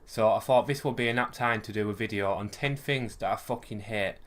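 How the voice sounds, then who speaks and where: A man's voice: lowish voice, fairly quick. A young man with a West Yorkshire accent, ranting sarcastically to camera as a vlogger and swearing freely. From West Yorkshire, England. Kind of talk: ranting comic monologue to camera.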